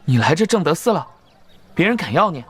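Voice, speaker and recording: An actor playing a guarded, restless young Taoist wanderer in an audio drama, direct and brusque. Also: male voice, on the high side, sing-song, a little fast, fairly bright tone, breathy edge, full character voice. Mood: wry, casual.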